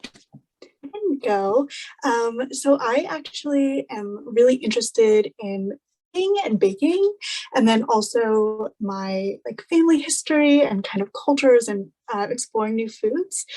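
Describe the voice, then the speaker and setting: This is a young woman in her twenties with a slightly high voice, chatting warmly as a student on a panel. She talks in an upbeat, loose way, full of ums and likes. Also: animated, measured pace, poor audio. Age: 20s. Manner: chatty and upbeat, with frequent fillers. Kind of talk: casual panel chat on a video call.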